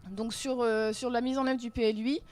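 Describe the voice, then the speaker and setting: A woman's voice: fairly high pitch, level tone, normal speed, darkish tone. A woman giving a workshop presentation on slides, hesitant with many fillers and restarts.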